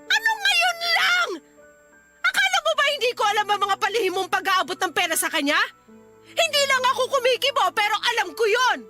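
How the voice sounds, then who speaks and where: A woman's voice: very high-pitched, very animated, brisk, bright, forceful tone, faint music behind, full character voice. A woman playing an angry young Filipino wife and mother in a radio drama, scolding emphatically and confrontationally. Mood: furious.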